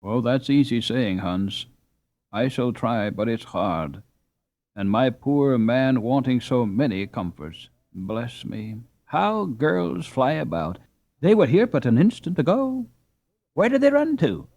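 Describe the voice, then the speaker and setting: A male reader voicing a warm-hearted, weary peasant mother with drawn-out, sighing, old-fashioned speech. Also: medium pitch, sing-song, no room sound, full character voice. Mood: fussing.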